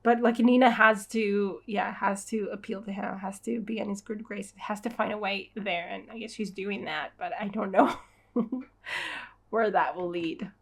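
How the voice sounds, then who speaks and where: A woman's voice: mid-range voice, steady tone, normal speed, gravelly, husky. A woman giving a casual read-along reaction as host, with informal, wry, sarcastic commentary.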